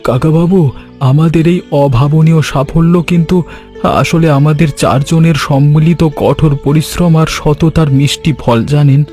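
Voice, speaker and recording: A man's voice: a little high, warm tone, natural voice. A man voicing an honest, grateful village shopkeeper in a cartoon story, speaking in an earnest, deferential way. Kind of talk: Bengali animated story voice acting.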